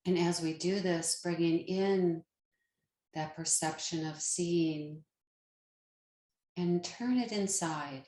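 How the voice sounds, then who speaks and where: Slow-paced woman guiding meditation, roomy sound. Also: lowish voice. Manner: calm, gentle, unhurried with long pauses. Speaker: meditation guide, yoga teacher. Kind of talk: guided meditation narration.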